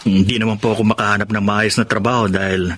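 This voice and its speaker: A man's voice: on the low side, flat delivery, fast talker, fairly bright tone, a little hiss or hum, natural voice. A man playing a humble, grateful ex-convict in a radio drama, speaking politely and earnestly. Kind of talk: dramatic radio drama acting and first-person narration. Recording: radio drama with music underneath. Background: clear background music.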